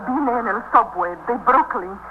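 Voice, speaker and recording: An actress as a flustered old Spanish-speaking lady in an old radio play. Her voice is high, and she is polite, apologetic and pleading. The recording is dull with faint hiss. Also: animated, moderate pace, close to an everyday voice. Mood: anxious, pleading. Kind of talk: 1950s radio drama acting.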